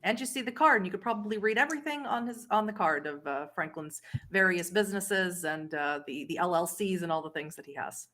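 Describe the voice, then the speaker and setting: A woman's voice: on the low side, a little fast, hardly any pauses, a bit bright. A woman acting as game master, narrating and refereeing a tabletop roleplaying game.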